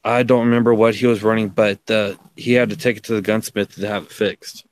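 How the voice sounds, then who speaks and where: A man chatting casually as a livestream guest, with a halting, self-deprecating way of talking. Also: on the low side, level tone. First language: English. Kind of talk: casual chat.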